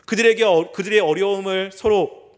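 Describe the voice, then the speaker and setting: Male pastor, middle-aged, high voice, earnest sermon, rhetorical questions, slow scripture reading. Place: church sanctuary.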